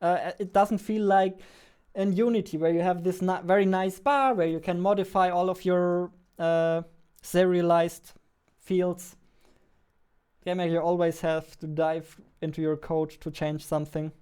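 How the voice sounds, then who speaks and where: A man's voice: sits high, fairly even intonation, unhurried, darkish tone, clear, resonant tone. A man in his twenties giving casual live commentary while coding, speaking into a close studio mic indoors. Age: 20s. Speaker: indie game developer, stream host. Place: small indoor room at a desk. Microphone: close studio mic on boom arm.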